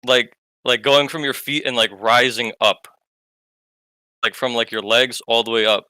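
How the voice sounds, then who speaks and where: A male caller tells a story in a loose, rambling way and keeps stumbling over filler words. His voice is mid-pitched and bright. The recording is dry, with no room sound. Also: little pitch movement, measured pace, clean tone, no room sound. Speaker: caller telling a ghost story. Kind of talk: casual storytelling on a call.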